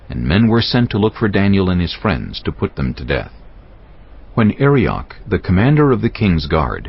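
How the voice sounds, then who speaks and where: Deep-voiced, expressive male Bible narrator. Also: slightly rough, dull audio. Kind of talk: scripted dramatized Bible narration.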